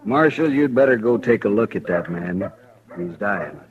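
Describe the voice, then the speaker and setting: A man's voice: on the low side, expressive, moderate pace, natural voice. A man playing a solemn frontier townsman. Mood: grave. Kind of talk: 1950s radio drama acting. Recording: muffled vintage radio recording.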